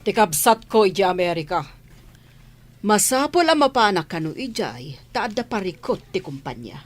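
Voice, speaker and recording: A woman's voice: medium pitch, big pitch swings, normal speed. A woman playing an indignant, haughty wealthy mother and businesswoman in a radio drama, with an Ilocano accent. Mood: vexed.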